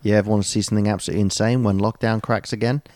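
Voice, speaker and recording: A man's voice: on the low side, fairly even intonation, racing, a little mellow, no room sound, clean studio sound. A man co-hosting a podcast, chatting casually and rambling through a story with plenty of ums and jokey asides. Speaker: podcast co-host. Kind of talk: casual chat.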